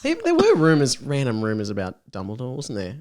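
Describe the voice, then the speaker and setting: A man's voice: medium pitch, huge pitch swings, fairly quick, a little mellow. A young man guesting on a comedy podcast, reading a story aloud and ad-libbing in a playful, giggly, casual way.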